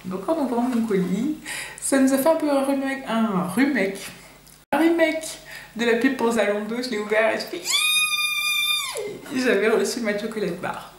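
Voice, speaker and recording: A woman's voice: fairly high pitch, animated, moderate pace, long pauses, faint music behind. A young woman from France chatting casually to camera in a nervous, chatty makeup review full of fillers. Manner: chatty, nervous first-timer, many fillers. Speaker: beauty vlogger. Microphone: camera mic. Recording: echoey room, faint hiss.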